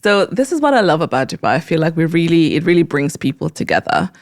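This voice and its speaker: This is a woman with a voice a little lower than most. She speaks as a podcast host in a conversational interview. Her delivery is earnest and effusive. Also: big pitch swings, fast talker.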